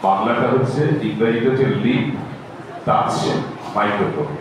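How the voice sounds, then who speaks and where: A man's voice: lowish voice, expressive, moderate pace, recorded from a distance. A man teaching a live workshop, instructive and insistent, often repeating himself. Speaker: recitation workshop trainer. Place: large echoey room at a live workshop. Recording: echoey noisy hall, picked up from afar.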